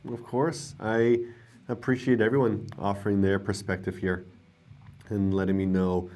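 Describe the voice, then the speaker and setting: Very deep-voiced, tired man. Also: flat delivery, normal speed. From United States. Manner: soft-spoken and drowsy, trailing off quietly. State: tired, not well rested. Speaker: livestream host. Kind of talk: casual livestream chat, reading viewer comments.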